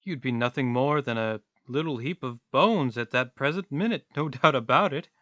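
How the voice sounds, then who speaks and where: A man's voice: mid-range voice, normal speed, pushed and bright, no echo, muffled recording, close to an everyday voice. A man playing a talkative, philosophical vagrant in a radio play, with a wordy, pompous, theatrical delivery. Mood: cool, admonishing.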